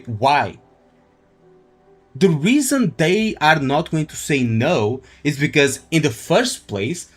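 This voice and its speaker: A man chatting casually on a game livestream, giving opinions and repeating phrases as he explains his points. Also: a little high. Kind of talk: casual livestream chat and commentary. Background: faint music.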